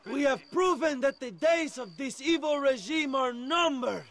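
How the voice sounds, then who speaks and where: An actor playing a fiery, grandiose Mexican revolutionary leader in a video game, shouting an impassioned rallying speech with a Mexican accent. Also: male voice, very high voice, expressive, unhurried, heavily acted. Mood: impassioned, defiant. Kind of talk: theatrical political speech. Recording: noisy outdoor speech over loud crowd.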